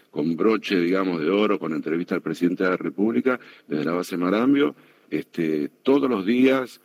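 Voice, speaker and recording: Deep-voiced Argentine man from Buenos Aires. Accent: Buenos Aires area Argentine Spanish. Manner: earnest, proud, many fillers and restarts. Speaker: public radio worker, guest. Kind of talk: radio interview answers.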